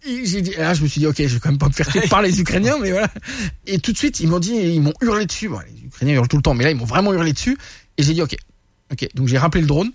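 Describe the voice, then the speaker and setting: A man from France, a guest telling war stories in a casual studio interview, animated and full of restarts. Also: a little high, racing, husky, no echo. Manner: animated, many restarts and laughs. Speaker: guest, reporter and influencer. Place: radio studio.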